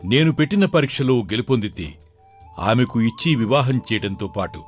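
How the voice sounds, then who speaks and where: A man voicing a caring, authoritative older king in a moral story, with a measured, formal manner. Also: medium pitch, lively intonation, slightly rough, faint music behind. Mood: formal, commanding. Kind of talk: dramatized Telugu moral story.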